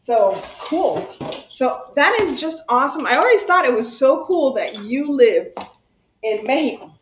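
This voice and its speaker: A high, chatty woman hosts a craft session and rambles freely about whatever comes up. She laughs easily. The room is echoey and the sound dull and lo-fi. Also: sing-song, a little slow, slight background noise. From Cuba. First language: Spanish. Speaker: craft channel host. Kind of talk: casual chat while crafting.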